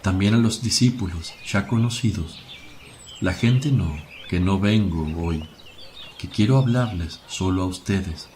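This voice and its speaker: An actor playing a calm, solemn spiritual master with gentle authority in a religious radio drama. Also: male voice, low voice, unhurried. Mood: calm, instructing. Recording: faint noise, birds and crickets behind.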